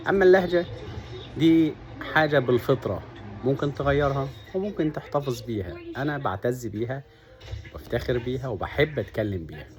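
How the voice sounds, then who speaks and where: A man from Upper Egypt with a Sa'idi accent, talking earnestly to camera and laying out his points one by one. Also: lowish voice. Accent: Upper Egyptian (Sa'idi) Arabic. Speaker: vlogger. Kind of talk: casual monologue to camera.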